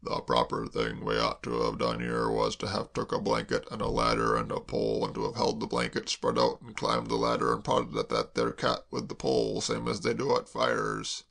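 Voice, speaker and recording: A man voicing a mournful, doom-laden male servant, speaking slowly and heavily. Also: big pitch swings, gravelly, fairly bright tone, full character voice. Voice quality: deep, slow, heavy. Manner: mournful, dwells on disasters. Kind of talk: audiobook character voice.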